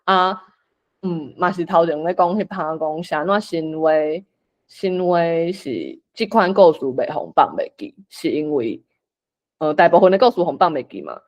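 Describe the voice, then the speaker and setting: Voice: medium pitch, lively intonation, normal speed, dull audio. 台灣口音的主持人，講話隨和. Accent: Taiwanese. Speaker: discussion host and moderator. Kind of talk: casual online discussion.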